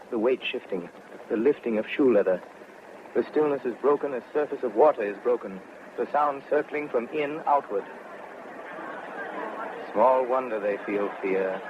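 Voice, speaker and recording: An actor as a solemn announcer in a vintage radio drama. He reports as an eyewitness in measured, poetic phrases at a middle pitch, on a muffled recording with clear background noise. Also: close to an everyday voice. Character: grave radio announcer witnessing a restless crowd. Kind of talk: 1930s radio drama live commentary. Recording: dull, noisy old radio recording.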